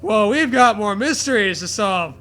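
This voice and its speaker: A man's voice: high voice, expressive, moderate pace, sharp tone, soft background music. A man voicing cartoon heroes in a chatty, mock-earnest way during a comic story reading. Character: casual cartoon male heroes trading sarcastic farewells.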